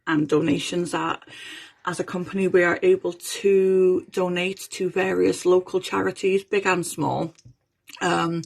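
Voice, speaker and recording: A woman's voice: on the low side, creaky, darkish tone, breathy edge. A woman giving a personal testimonial as a member of a charity theatre company. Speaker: amateur pantomime company member. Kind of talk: testimonial to camera.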